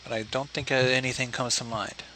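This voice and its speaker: A man's voice: medium pitch, flat delivery, a little fast, bright, forceful tone, poor audio. A man chatting casually as a livestream co-commentator, giving short reactions to a story.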